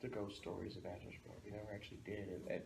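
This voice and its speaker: A man's voice: lowish voice, level tone, rapid-fire, vocal fry. A man chatting casually as a fellow comic book fan, recorded in a noisy, echoey room. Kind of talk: casual chat.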